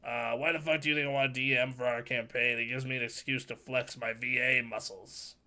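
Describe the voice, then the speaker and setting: A man co-hosting a gaming podcast, with a nasal but bassy voice, talking loosely, joking and swearing freely. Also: flat delivery, a little fast, pushed and bright. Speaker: podcast co-host. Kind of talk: casual gaming chat. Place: home streaming setup.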